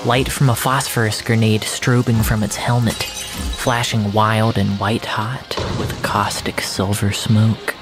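A man acting as the solemn first-person narrator of surreal fiction, with a deliberate, literary delivery. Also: on the low side, little pitch movement, over loud music, close to an everyday voice. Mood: solemn, intense.

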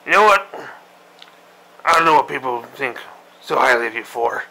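A man voicing a crude, grumpy old male admiral who rants and swears in a gravelly voice. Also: medium pitch, animated, moderate pace, pushed and bright, rough recording. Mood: irritated. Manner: profane, griping rant. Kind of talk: comedic parody monologue.

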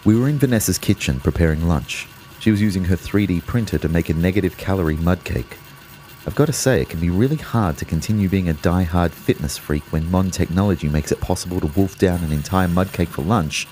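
An actor playing a reflective, concerned young man, telling his story in a measured, understated way in an audio drama. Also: low-pitched, a little mellow, faint music behind, close to an everyday voice. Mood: sombre, reflective. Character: reflective, concerned young boyfriend, a fitness fanatic. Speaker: narrator and lead character. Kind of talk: first-person audio drama narration and dialogue.

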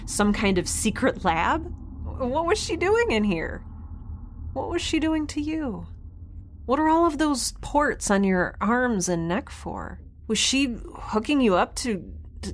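An actress playing a flustered, sarcastic young woman scientist in a science fiction audio drama, with a halting, rambling, wry delivery. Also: a little high, very animated, slight background noise. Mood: unsettled, rambling. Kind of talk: naturalistic audio drama dialogue.

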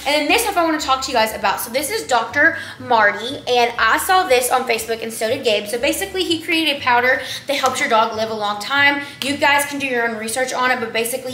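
A young woman, chatting to camera as a vlogger in her home kitchen, rambling with lots of "like" and "um". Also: a little high. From Texas. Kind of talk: casual haul chat to camera. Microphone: camera on the counter at a distance. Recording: echoey kitchen with clear background noise.

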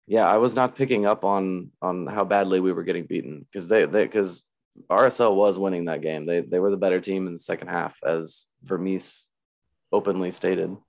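A man hosting a casual fan podcast, his voice slightly raspy after a day of yelling, talking in an animated, rambling, self-mocking way. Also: sits low, moderate pace. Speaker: podcast host.

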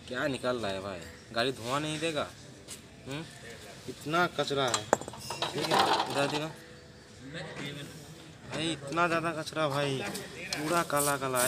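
Young male mechanic with a Kolkata accent, a slightly high voice, a phone recording in a noisy workshop. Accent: Kolkata Hindi. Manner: repeats "dekho" and "theek hai" while showing his work. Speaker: car mechanic. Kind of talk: hands-on repair walkthrough. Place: outdoor garage workshop. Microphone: phone camera held while working. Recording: phone audio with garage noise.

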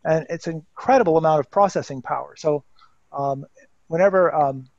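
A man with a high voice hosts an online meetup, talking casually with halting restarts. The video call audio sounds dull and dry. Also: a little mellow, no room sound. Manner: hesitant, stammering restarts. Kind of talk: casual chat.

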